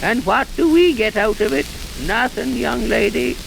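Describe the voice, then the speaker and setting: An actor playing a garrulous, aggrieved old blacksmith with a rural English accent in an old-time radio drama. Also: male voice, very high voice, expressive, moderate pace, vocal fry, heavily acted. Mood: aggrieved, grumbling. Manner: folksy, grumbling, repeats himself for emphasis. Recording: noisy, hissy, crackling old radio recording.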